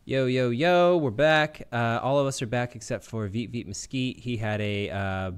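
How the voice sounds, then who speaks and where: A man's voice: medium pitch, normal speed, smooth. A man hosting a podcast, chatting casually about games in an informal, joking way. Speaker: podcast host. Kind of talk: casual gaming chat.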